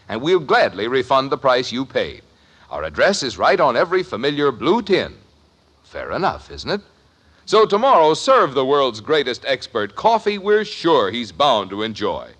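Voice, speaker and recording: A man's voice: medium pitch, a bit bright. A man reading a 1950s radio commercial as announcer, in an emphatic, salesmanlike way.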